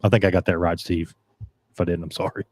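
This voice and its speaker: A man talks in a fairly low voice, his throat gone a little scratchy from a long night of talking. He rambles easily, restarts his sentences and drifts between topics, recorded close and dry with no room sound. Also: level tone, fast, a little mellow, dry recording. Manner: rambling, restarts often, reads live comments aloud. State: voice getting scratchy. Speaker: podcast host. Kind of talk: casual chat about dirt track racing.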